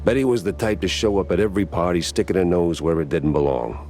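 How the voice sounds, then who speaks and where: A man's voice: deep voice, fast talker, warm tone, faint music behind. A man playing a world-weary, wisecracking mouse private detective in a noir video game, with wry, slangy tough-guy delivery. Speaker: detective protagonist and narrator. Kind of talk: 1930s noir detective narration.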